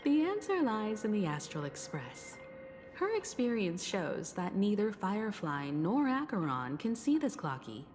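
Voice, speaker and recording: An actress playing a composed, perceptive woman in a video game, explaining things in a poised, deliberate way. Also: medium pitch, very animated, darkish tone. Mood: knowing, composed. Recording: long hall reverb, faint music.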